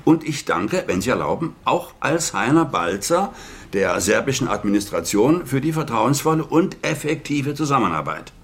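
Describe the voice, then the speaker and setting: A man's voice: medium pitch, big pitch swings, moderate pace, natural voice. An actor playing a government spokesman at a press conference who answers reporters formally and with sarcasm. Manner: formal, curt, sardonic with reporters. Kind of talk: radio drama acting.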